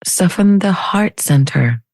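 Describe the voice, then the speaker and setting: A woman leading a guided meditation in a gentle, unhurried manner with long pauses between phrases. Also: lowish voice, expressive, dry recording. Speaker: meditation teacher.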